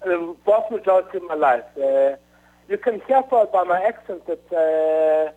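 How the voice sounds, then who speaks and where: A middle-aged man with a South African accent answering interview questions in a halting way, full of uhs and restarts. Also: high voice. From Rhodesia, now Zimbabwe. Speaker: author guest. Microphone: phone. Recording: tinny phone line.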